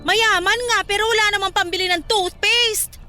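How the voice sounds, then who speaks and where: Haughty, materialistic young Filipina for radio drama, played by a woman: very high, bright, dismissive delivery over faint music. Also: steady tone, moderate pace. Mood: scornful, irritated. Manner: sassy, dismissive, slangy.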